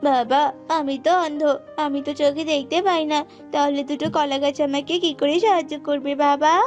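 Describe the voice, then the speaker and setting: A woman's voice: sits high, level tone, a little fast, a little mellow, close to an everyday voice. A woman voicing a helpless young jackal boy in a whiny, pleading cartoon style. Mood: earnest. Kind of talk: cartoon voice acting. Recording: muffled, music underneath.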